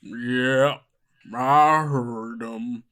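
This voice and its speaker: Game master playing a big unhurried frog man, laconic, drawn out, low-key. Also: fairly even intonation, a bit bright, clear, resonant tone. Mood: laid-back. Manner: slow and laconic. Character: large easygoing frog man pretzel vendor.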